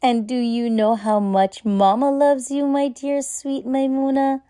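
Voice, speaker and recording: Woman playing a slow, tender storybook mother. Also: high-pitched, little pitch movement, mellow, smooth. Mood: tender, reassuring. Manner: tender, measured. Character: gentle, loving, reassuring mother. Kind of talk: children's storybook character voice.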